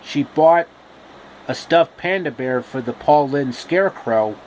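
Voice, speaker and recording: A man narrating a comic story casually and haltingly, pausing mid-sentence. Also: on the high side, expressive, darkish tone, a little hiss or hum, low-quality recording.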